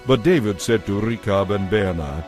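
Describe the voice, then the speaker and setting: A man narrating scripture from a script in a measured, solemn manner. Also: fairly low pitch, animated. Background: soft music.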